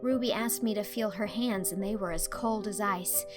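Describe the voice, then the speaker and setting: A woman's voice: medium pitch, normal speed, over music, lightly acted. A woman playing a dramatic, anxious, chatty teenage schoolgirl in a storybook reading, speaking earnestly and wordily. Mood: earnest, breathless.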